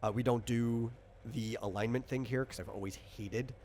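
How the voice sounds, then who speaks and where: A man's voice: medium pitch, steady tone, moderate pace, a little mellow, a little hiss or hum. A man casually walking a player through a tabletop game as game master, rambling, explanatory and freely opinionated.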